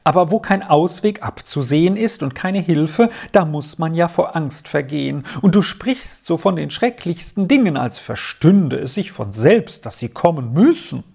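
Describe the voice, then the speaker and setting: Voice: medium pitch, expressive, normal speed, creaky, few pauses, darkish tone, slightly rough, pitched above the performer's own voice. A narrator putting on a wailing, fretful aunt. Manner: lamenting, wailing complaints. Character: anxious fretful middle-aged aunt.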